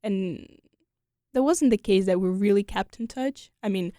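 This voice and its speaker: A woman's voice: mid-range voice, unhurried, mellow, no room sound. Ukrainian woman chatting in a dry studio. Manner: chatty, many fillers like "you know" and "like". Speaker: guest. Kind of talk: casual conversational interview.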